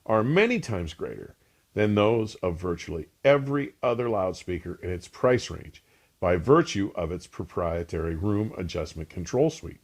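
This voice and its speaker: A man with a low voice delivers a scripted equipment review with very lively intonation. His phrasing is polished and emphatic, like a keen reviewer hosting his own show. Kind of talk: scripted product review narration.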